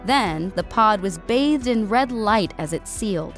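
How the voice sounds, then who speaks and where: A woman's voice: mid-range voice, lively intonation, unhurried. A woman reading scripted fiction as an audiobook narrator. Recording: music bed under voice. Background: background music.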